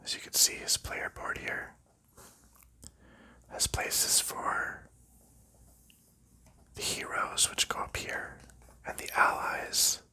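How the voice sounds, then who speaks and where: Whispering man, bright and breathy. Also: slow, pauses often. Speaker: ASMR host. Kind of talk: whispered ASMR unboxing narration.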